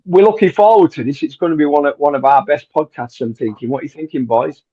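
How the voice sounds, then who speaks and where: A man's voice: fairly high pitch, quick pace, dark tone, husky. A man hosting a podcast, talking casually with lots of hesitations and repeated words. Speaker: podcast host. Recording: dull lo-fi audio.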